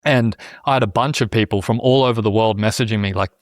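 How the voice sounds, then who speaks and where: A man's voice: fairly low pitch, quick pace, no room sound, studio quality. A man in his thirties hosting a podcast, talking in a rambling, earnest way with many restarts and frequent check-ins with his listener. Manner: rambling and earnest, many restarts, often says "you know what I mean". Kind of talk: casual conversation.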